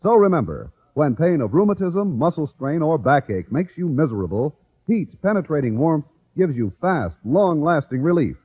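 A man's voice: fairly high pitch, sing-song, moderate pace, breathy edge. A man announcing a scripted vintage radio commercial with emphatic, polished salesmanship. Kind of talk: 1940s-50s radio advertisement, scripted pitch. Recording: narrow, tinny vintage radio recording.

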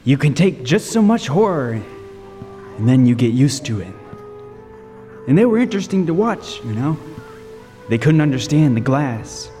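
A man playing a haunted lighthouse keeper, narrating a horror tale in deliberate, dramatic radio-play style. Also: medium pitch, big pitch swings, mellow, lightly acted. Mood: morbid fascination. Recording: long reverb, music underneath.

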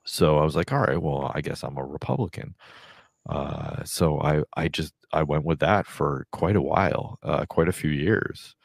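Deep-voiced man in his forties rambling. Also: moderate pace, gravelly, no echo, clean studio sound. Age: 40s. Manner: rambling, full of "like" and restarts. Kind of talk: casual podcast chat.

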